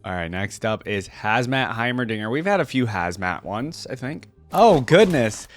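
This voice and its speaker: Casual male reactor with lively pitch. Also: moderate pace, faint music behind. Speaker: video creator reacting to game skins.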